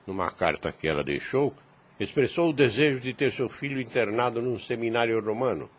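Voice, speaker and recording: A man playing a grave, kindly older priest and uncle in an audio drama, speaking in a measured, formal, fatherly way. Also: medium pitch, animated, close to an everyday voice. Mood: grave, reflective. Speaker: voice actor. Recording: clear background noise.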